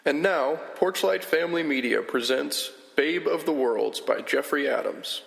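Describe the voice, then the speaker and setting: Man, host, scripted narration, measured storytelling, gravelly voice, reverberant stage. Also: a little high, a bit bright. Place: stage before a live audience.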